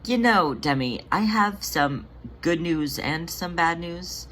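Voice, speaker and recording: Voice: mid-range voice, expressive, slow pace, sharp tone, a little hiss or hum, pitched above the performer's own voice. A narrator voicing a caring female cat healer in a careful, hesitant, reassuring way.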